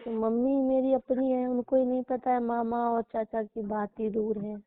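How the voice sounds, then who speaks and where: Young woman, higher-pitched voice, plaintive and confiding, casual intimate chat, narrow tinny phone audio. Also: level tone, moderate pace, dry recording. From rural Uttar Pradesh, India. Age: young adult. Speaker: girlfriend on a personal phone call. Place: phone call recording. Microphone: telephone.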